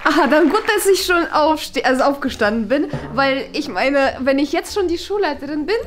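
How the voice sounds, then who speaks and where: Woman playing a high-pitched, smug young headmistress. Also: expressive, moderate pace, slight background noise. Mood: smug, bossy. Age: young adult. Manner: chatty, rambling, self-satisfied. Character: smug, flighty, bossy young new headmistress. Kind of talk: improvised comedy sketch acting. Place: small bright meeting room.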